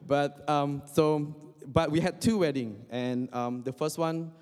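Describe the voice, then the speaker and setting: A man's voice: a little high, fairly even intonation, measured pace, a little mellow. A young man from Indonesia giving a casual, joking sermon, full of fillers and restarts. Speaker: preacher. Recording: long hall reverb.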